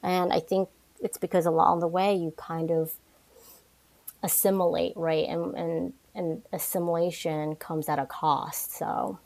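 Chatty young woman, lowish voice. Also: unhurried, gravelly. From New York. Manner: frequent restarts and "like", animated asides. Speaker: podcast guest. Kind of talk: casual interview conversation.

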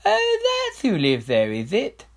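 A man voicing a vain, skittish king who speaks in an airy, evasive, hurried way. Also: sits high, huge pitch swings, vocal fry, voice pushed up, heavily acted. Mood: airy, nervous.

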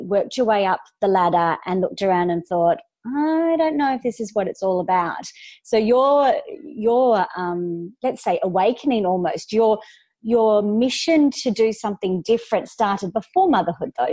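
A woman podcast host interviewing a guest, prompting her with questions and soft fillers. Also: mid-range voice, no echo.

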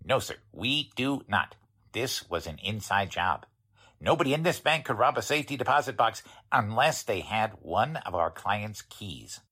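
A man's voice: on the low side, very animated, normal speed, bright, forceful tone, husky, full character voice. A man playing a chatty, deferential bank attendant in a folksy, plain-spoken 1940s radio drama style. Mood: earnest, deferential.

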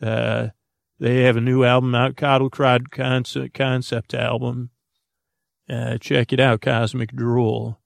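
A man hosting a bedtime podcast with a creaky voice, rambling in a halting, goofy, improvised monologue that meanders into tangents. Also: medium pitch, level tone, dry recording. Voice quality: gravelly, creaky. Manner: goofy, whimsical. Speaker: podcast host.

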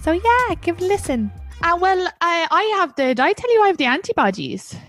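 A woman's voice: very high voice, big pitch swings, brisk, fairly bright tone, faint music behind. An Irish woman in her thirties hosting a podcast, chatting casually and laughing often. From Ireland. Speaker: podcast host. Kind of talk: casual chat.

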